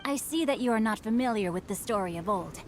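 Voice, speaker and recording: A woman's voice: a little high, animated, quick pace, slight background noise, lightly acted. A woman voicing a courteous, self-assured, bookish young nobleman in a video game, speaking formally and eloquently with a theatrical touch. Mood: storytelling.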